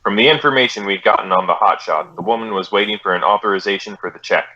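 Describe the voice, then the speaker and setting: An American man playing a terse, businesslike police detective, narrating a radio crime drama in clipped, deadpan, matter-of-fact phrases. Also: lowish voice, quick pace. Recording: dull vintage radio drama recording.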